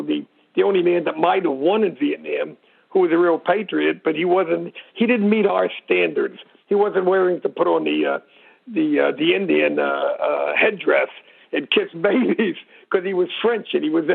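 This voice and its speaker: A man with a gravelly, husky voice explaining history informally as an interview guest, rambling and digressing as he tells it. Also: high-pitched. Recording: muffled narrow phone-like line.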